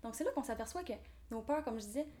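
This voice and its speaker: Fast-talking young Québécoise woman. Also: fairly high pitch, animated, dark tone. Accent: Québécois French. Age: 20s. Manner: enthusiastic, motivational, fast talking. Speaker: guest, real estate developer. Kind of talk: podcast interview.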